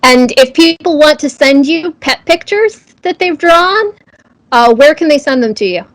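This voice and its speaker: Higher-voiced woman, enthusiastic interview host. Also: lively intonation, a little slow, low-quality recording. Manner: enthusiastic, appreciative. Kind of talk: friendly live interview hosting.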